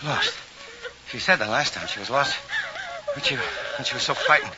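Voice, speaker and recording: A man's voice: medium pitch, wildly swooping pitch, moderate pace. An actor playing a desperate scientist urgently searching for a lost woman in a mid-century radio drama. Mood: distraught. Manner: urgent, anguished, calling out names. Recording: noisy, dull old radio recording.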